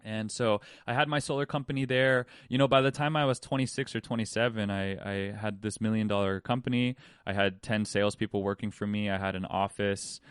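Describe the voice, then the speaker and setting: A man's voice: on the low side, fairly even intonation, fairly quick, few pauses, clear, resonant tone, dry recording, clean studio sound. A man, hosting a podcast and talking candidly in a rambling monologue full of restarts. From Hawaii. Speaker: podcast host. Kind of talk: reflective personal monologue.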